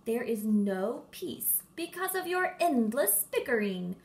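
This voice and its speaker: A large, stern storybook toad, acted by a woman reader. He scolds firmly and takes his time. The pitch moves around a lot. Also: sing-song, slow pace, full character voice. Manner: firm, reproving.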